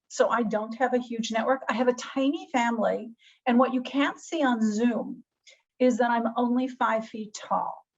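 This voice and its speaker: A woman hosting a webinar over a video call, talking in a chatty, enthusiastic and self-deprecating way. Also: on the high side. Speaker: webinar host. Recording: dull lo-fi video call audio.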